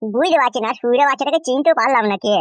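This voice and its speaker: A man's voice: extremely high pitch, very fast, fairly bright tone, no echo. A man voicing a hot-headed, gullible village husband in a comic cartoon, blustering and whining in a rural West Bengal accent. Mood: plaintive. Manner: blustering, whining, mispronounces words.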